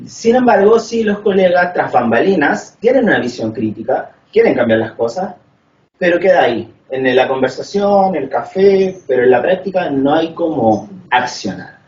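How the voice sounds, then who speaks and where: A man's voice: a little high, sing-song, darkish tone. A man from Chile puts a long, structured question to a speaker at a conference. He talks methodically and leans on "eh" as he lays out his points. He is recorded from across an echoey hall, a little dull and lo-fi, with faint room hum behind him. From northern Chile. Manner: measured, methodical, frequent "eh" fillers. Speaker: audience member asking a question. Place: lecture hall. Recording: echoey, distant, dull lo-fi sound.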